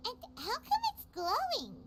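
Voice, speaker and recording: A woman voicing a small, childlike, curious fairy companion with a squeaky voice in a video game, over music. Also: wildly swooping pitch, measured pace, fairly bright tone, slight background noise, exaggerated voice. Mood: curious, puzzled. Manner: refers to itself in third person, exclamatory.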